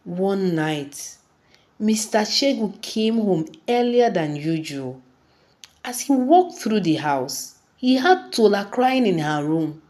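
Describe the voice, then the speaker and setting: A woman narrating a scripted folktale in a deliberate, dramatic storytelling manner. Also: mid-range voice, very animated, a little mellow.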